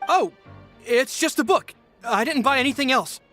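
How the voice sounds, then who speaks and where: A woman's voice: high-pitched, animated, moderate pace, fairly bright tone, soft background music, full character voice. A woman playing a nervous, evasive customer in a video game, flustered, stammering and pleading. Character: nervous, evasive female customer caught with contraband. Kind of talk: video game character dialogue.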